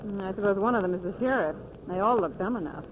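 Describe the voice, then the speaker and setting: A woman playing a cheeky, sharp-tongued young detective's assistant in a 1940s radio drama. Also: medium pitch, fast, close to an everyday voice. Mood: curious, pert. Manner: teasing, pert. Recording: muffled, very noisy old radio recording.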